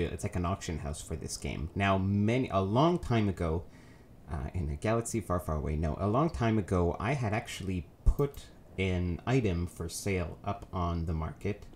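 Low-voiced guy with a cold, sounds nasally, rambling game commentary w lots of ums, faint hiss behind. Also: expressive, measured pace, a little mellow. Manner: rambling, many ums and self-corrections. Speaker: let's play host. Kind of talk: casual gameplay commentary.

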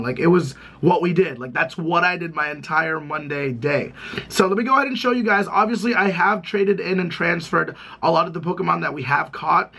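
A hoarse young man in his twenties, chatting excitably in a casual gaming vlog from a small room. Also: high voice. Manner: excitable, chatty, rambling with many "like"s. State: hoarse, recovering from lost voice. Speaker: gaming YouTuber.

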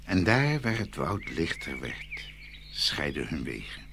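A man's voice: extremely low pitch, wildly swooping pitch, takes it slowly, gravelly, noisy background. A man narrating a scripted fairy tale as a dramatic storyteller.

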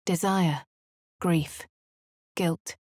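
A woman voicing a posh young female adventurer with a posh English accent in game voice lines, switching between quiet inner narration, hushed whispers and shouted spell incantations. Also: fairly low pitch, steady tone, drawn-out words, no room sound, studio quality. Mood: brooding.

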